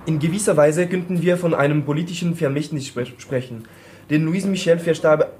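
A man's voice: a little high, brisk, darkish tone, slight background noise. A non-native German-speaking man presenting a book and reading its preface, searching for words with many fillers. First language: not German. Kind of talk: halting reading aloud.

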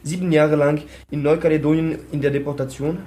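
A man's voice: a little high, level tone, brisk, dark tone. A non-native German-speaking man presenting a book and reading its preface, searching for words with many fillers. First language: not German. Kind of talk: halting reading aloud.

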